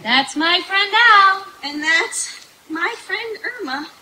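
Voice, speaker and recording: Woman acting very high chirpy young radio-comedy woman. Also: expressive, slow, over music, full character voice. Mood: playful, sweet. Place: outdoor stage in the woods. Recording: echoey distant camera, audience noise.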